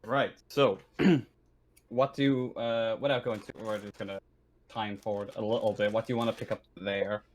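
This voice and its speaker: A man's voice: on the low side, fairly even intonation, moderate pace. A man running a tabletop game as game master, talking casually in an easygoing, accommodating way. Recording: noisy line with clicks.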